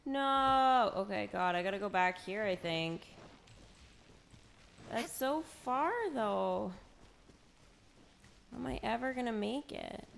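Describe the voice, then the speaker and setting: A woman's voice: medium pitch, slow, vocal fry, pauses often, a little hiss or hum. A woman live-streaming a video game, chatting casually to viewers and breaking into exclamations with mild minced swearing. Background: game music.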